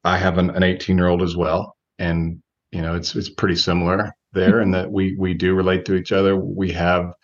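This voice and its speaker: Low-pitched man in his forties, reflective. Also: monotone, fairly quick, few pauses, highs cut off. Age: 40s. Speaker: guest, relationship coach. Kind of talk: reflective interview answers.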